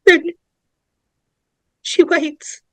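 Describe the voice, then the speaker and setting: A woman narrator reading horror screenplay stage directions in a dramatic, suspenseful way, with deliberate pauses. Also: dry recording. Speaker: narrator of a script table read.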